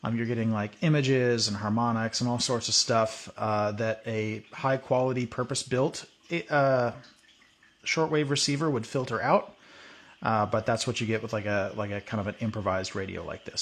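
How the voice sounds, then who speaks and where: A man hosting a hands-on tutorial, thinking aloud in a relaxed way while working at a workbench. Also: fairly low pitch, normal speed. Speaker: video host.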